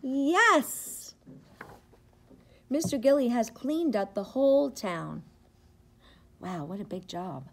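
A middle-aged woman, a preschool teacher, reads a picture book aloud to young children with expressive, rhythmic, sing-song phrasing. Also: fairly high pitch, takes it slowly, vocal fry, pauses often. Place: indoors, school classroom. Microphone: device camera at about arm's length.

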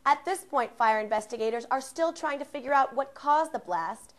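A woman's voice: high-pitched, normal speed. A young American woman reporting scripted TV news in a formal, enunciated newscaster delivery. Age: young adult.

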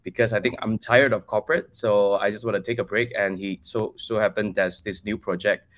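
A Malaysian-accented man in his forties talks as a guest on a video call. His voice is somewhat low, and he answers questions in a casual, conversational way. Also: steady tone, a little fast, a bit bright. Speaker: guest, crypto project team member. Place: bright room at home, video call.

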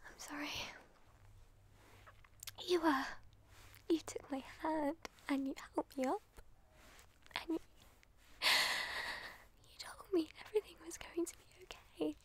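A British woman playing an obsessive, lovesick captor in an intimate whispered ASMR roleplay, breathy, cooing and emotionally fragile. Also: sits high, slow, pauses often, fairly bright tone. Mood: tearful, flustered. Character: obsessive lovesick female captor, tender, volatile, doting on captive.

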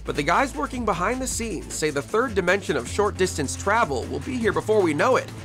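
A man's voice: sits high, sing-song, fairly quick, fairly bright tone, faint music behind. A man in his thirties narrating a scripted television news report as the reporter.

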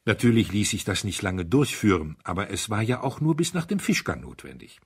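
A man with a husky voice narrating a detective story in an expressive, theatrical way. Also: fairly low pitch, a little fast. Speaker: audiobook narrator.

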